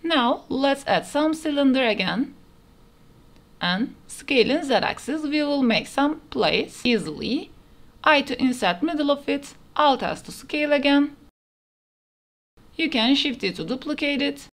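A woman's voice: high-pitched, animated, a little slow. A woman narrating a step-by-step 3D modeling tutorial in plain, instructional phrasing, recorded as a voice-over. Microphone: voice-over over screen recording.

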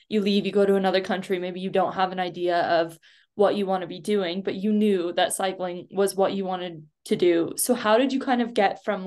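A woman's voice: medium pitch, a little mellow. A young woman hosting a podcast over a video call, asking rambling questions full of "like" and "kind of". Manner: casual, lots of "kind of" and "like" while asking questions. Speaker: podcast host. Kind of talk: casual video call interview.

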